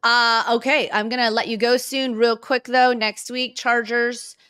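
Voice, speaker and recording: A woman's voice: on the high side, level tone, measured pace, fairly bright tone, clean tone, no echo, studio quality. A woman hosting a sports show in a conversational interview style.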